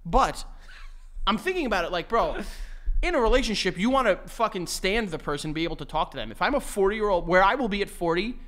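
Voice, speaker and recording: Animated man in his thirties, riffing. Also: high voice, quick pace. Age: 30s. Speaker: podcast co-host. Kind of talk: casual chat.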